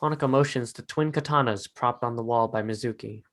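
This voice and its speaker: Male narrator with a medium-pitched voice. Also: a little fast, fairly bright tone. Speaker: narrator reading stage directions. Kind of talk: scripted narration.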